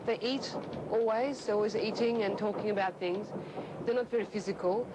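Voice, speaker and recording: A woman's voice: mid-range voice, moderate pace. A young adult woman, chatting casually and reflectively. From Australia, grew up in Melbourne. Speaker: interviewed train passenger, actor. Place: inside a moving train carriage. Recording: clear train noise behind.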